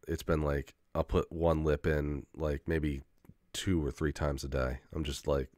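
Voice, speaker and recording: A man's voice: rumbling low, little pitch movement, measured pace, a little mellow, no echo, studio quality. A young man in a casual rambling monologue, full of fillers, restarts and swearing, getting over a head cold with an occasional cough. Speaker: vlogger.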